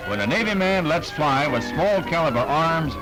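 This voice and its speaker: 1960s newsreel narrator, American man, lively higher-pitched voice, punchy and wry, prominent music underneath. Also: moderate pace. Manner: punchy, wry announcer delivery.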